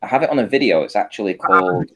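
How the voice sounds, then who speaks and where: A man's voice: lowish voice, expressive, fast, a bit bright. A man speaking informally as a panel guest, talking listeners through images step by step and checking they follow. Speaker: panel guest presenting a photo analysis. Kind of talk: informal explanatory talk over shared images.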